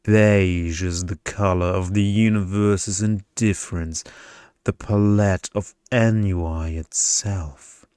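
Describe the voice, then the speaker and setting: Storybook ASMR vampire played by a man: low, slow, smug, pompous, boringly philosophical. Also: fairly even intonation, darkish tone, clean tone, dry recording, clean studio sound. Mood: pompous droning. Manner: dramatic, philosophical droning.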